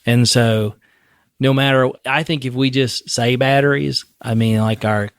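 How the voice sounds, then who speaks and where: A man hosting a casual podcast chat, mid-pitched and quick to laugh at himself. His voice is close and dry, with no room sound around it. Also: fairly even intonation, smooth, dry recording. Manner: self-deprecating, joking, laughs often. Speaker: podcast host.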